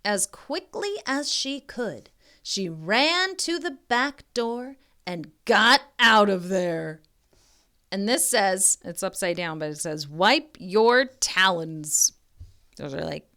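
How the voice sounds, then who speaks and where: Woman, children's read-aloud tutor: very unhurried delivery, lively melodic pitch, chatty teacherly asides. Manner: expressive, teacherly, pauses to question listeners. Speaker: reading tutor reading a picture book aloud.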